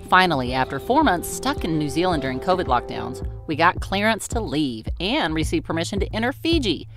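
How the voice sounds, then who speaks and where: Mom, forties, telling the family sailing story, voice goes up and down a lot, music under it. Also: female voice, deep voice, very animated, brisk. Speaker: vlogger mother. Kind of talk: casual family vlog with narration.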